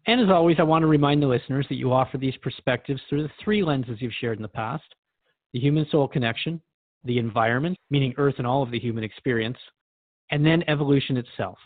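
A man's voice: medium pitch, quick pace, no echo, phone-quality sound, natural voice. A man playing a thoughtful, friendly radio host who asks probing questions in a conversational radio drama interview. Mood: attentive.

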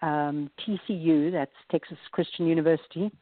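South African woman guest in her 40s, low voice, dry tinny phone audio. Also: fairly even intonation, moderate pace, no room sound. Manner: warm storytelling with small laughs and restarts. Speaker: guest wellness coach. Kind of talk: casual interview.

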